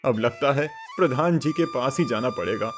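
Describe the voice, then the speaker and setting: A man acts a poor farmer in a cartoon morality tale. He sounds earnest, worried and submissive, pleading in a medium-pitched voice with faint music behind. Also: normal speed, natural voice. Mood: anxious, resigned. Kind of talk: Hindi moral cartoon story dubbing.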